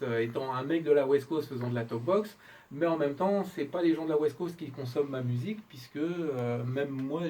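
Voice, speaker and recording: A middle-aged man explains his craft as an interviewed musician, informal and colloquial, halting and correcting himself. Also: medium pitch, dark tone. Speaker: interviewed musician, talkbox player and producer. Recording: distant mic, noisy room background.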